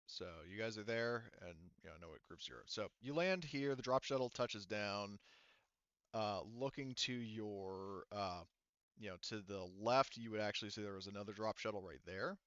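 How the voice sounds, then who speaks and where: A man running a tabletop game as game master, narrating casually in an informal, halting manner. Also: fairly low pitch, fairly bright tone, smooth, no room sound. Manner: informal, halting, sometimes profane. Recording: dull, muffled lo-fi sound.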